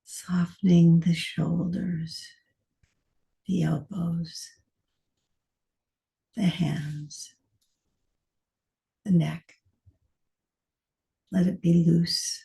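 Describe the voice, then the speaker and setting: Very mellow, creaky woman guiding a meditation. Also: fairly low pitch, little pitch movement. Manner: soft, gentle, short phrases with long pauses. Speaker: meditation teacher. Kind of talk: guided meditation.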